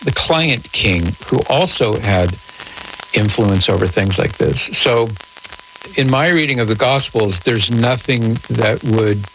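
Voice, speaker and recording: A man hosting a radio call-in show, answering in a measured, articulate, conversational way with formal wording. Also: lowish voice, a bit bright, soft background music. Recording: noisy radio broadcast with static.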